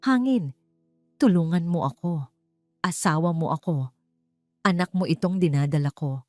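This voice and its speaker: A woman's voice: fairly low pitch, animated, normal speed, a little mellow, no echo, studio quality, soft background music, natural voice. A Filipino woman voicing a timid, heartbroken pregnant wife in an audio drama, pleading and trembling.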